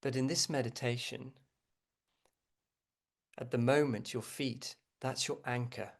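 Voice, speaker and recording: A man leading a guided meditation as a teacher, speaking gently and without hurry, with frequent pauses. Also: mid-range voice, fairly even intonation, vocal fry.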